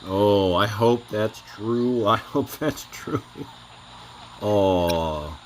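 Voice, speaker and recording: A man's voice: fairly low pitch, very slow, a little hiss or hum, low-quality recording. A man hosting a reaction video, making amused, laughing asides.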